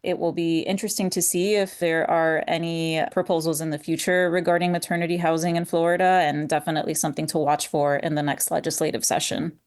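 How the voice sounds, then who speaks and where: Low-voiced woman reporter explaining. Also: little pitch movement, quick pace, few pauses. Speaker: newspaper reporter. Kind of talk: measured interview explanation.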